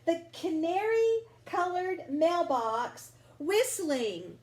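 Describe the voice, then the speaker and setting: A woman reads a children's picture book aloud in a high, lively voice that rises and falls. She lingers on vivid words with dramatic, deliberate phrasing. The room gives her voice a noticeable echo. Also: background noise. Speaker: storybook reader.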